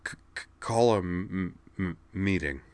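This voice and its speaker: A man voicing a stubborn, angry young woman student in an audiobook, with a heavy stammer and a halting, tight delivery. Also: deep voice, no echo. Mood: tight with anger.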